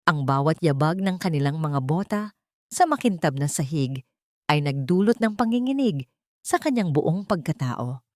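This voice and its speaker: A woman's voice: low-pitched, lively intonation, moderate pace, no echo, studio quality. A woman narrating a scripted dramatic story in a solemn, emotive manner.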